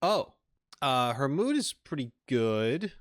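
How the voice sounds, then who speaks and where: A man's voice: mid-range voice, very animated, slow, no room sound. A man commentating casually on a game as a streamer, full of joking, mock-outraged asides. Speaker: gaming streamer.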